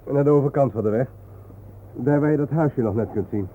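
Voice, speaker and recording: An actor playing a solemn, reflective male guide to war memorials in a radio play, explaining things gravely. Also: mid-range voice, brisk, narrow, tinny audio, natural voice. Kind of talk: naturalistic radio play acting. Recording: thin, noisy old radio recording.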